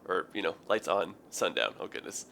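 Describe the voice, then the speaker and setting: A man with a raspy, hoarse, tired voice, rambling through casual game commentary with many ums and self-corrections. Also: low voice, lively intonation, normal speed, sharp tone, a little hiss or hum. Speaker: gaming video host. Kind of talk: casual let's play commentary.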